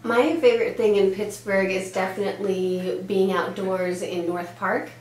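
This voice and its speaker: A woman's voice: medium pitch, fairly even intonation, normal speed, a little mellow. A woman in her thirties, born in Denmark with Danish as her first language, chatting casually as a pediatrician answering interview questions, with lots of "uh" and "um". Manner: chatty, with frequent "uh" and "um" fillers. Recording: echoey room with faint hum.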